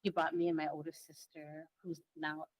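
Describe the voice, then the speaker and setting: A woman from Hong Kong, a native Tagalog speaker, tells personal stories casually as a guest, often pausing to search for words. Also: deep voice, flat delivery, no room sound. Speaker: guest, congregation secretary. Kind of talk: casual conversational storytelling.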